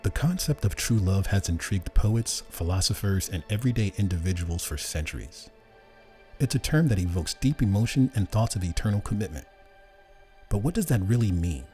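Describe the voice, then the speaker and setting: A man delivering scripted documentary narration in a measured, even way. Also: on the low side, faint music behind. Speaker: narrator and interviewer.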